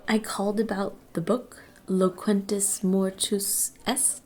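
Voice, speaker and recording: A woman's voice: mid-range voice, flat delivery, slow pace, a little mellow. A woman playing an earnest, uneasy young college student drawn to the occult, acting and narrating in an audio drama. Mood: hesitant.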